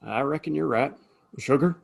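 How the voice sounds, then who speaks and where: A man playing a weary Old West saloon keeper in an audio play, husky, hesitant and folksy. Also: medium pitch, moderate pace, slight background noise. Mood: curious, folksy. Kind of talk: Western audio play acting.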